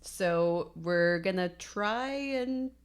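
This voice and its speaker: A woman co-hosting a podcast in a relaxed, chatty way, often agreeing with her co-host. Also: on the low side, drawn-out words, clean tone. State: recovering from lost voice. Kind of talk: casual chat.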